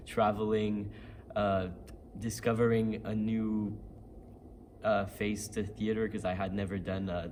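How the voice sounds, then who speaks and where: A teenage boy from Canada, a young actor answering interview questions thoughtfully, with pauses and fillers. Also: fairly low pitch, darkish tone, slight background noise. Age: teens.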